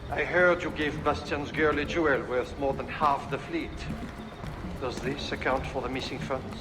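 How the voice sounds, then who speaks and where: A man's voice: mid-range voice, steady tone, moderate pace, in a large hall, over music, close to an everyday voice. A man playing a stern, sardonic official in a video game cutscene, with a dry, accusing manner. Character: stern, sardonic male official holding the purse strings.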